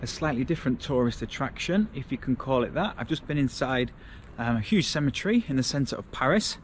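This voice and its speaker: A man's voice: mid-range voice, lively intonation, brisk. A man in his forties, chatting casually as he walks and points out city sights, filmed outdoors on a camera held at arm's length. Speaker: travel vlogger. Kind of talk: casual travel vlog commentary. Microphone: action camera held at arm's length.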